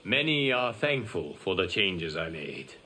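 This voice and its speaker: An actor playing a calm, wise godlike guardian in a video game, speaking in measured, formal lines. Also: male voice, low-pitched, very noisy. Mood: solemn, assured. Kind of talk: dramatic video game dialogue.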